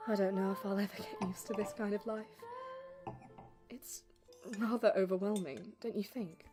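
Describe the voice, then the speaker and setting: A woman's voice: mid-range voice, fairly even intonation, moderate pace, music in the background, lightly acted. A woman playing a modest, vulnerable young assistant who speaks hesitantly and adoringly in an audio drama. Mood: hesitant, wary. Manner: hesitant, self-effacing.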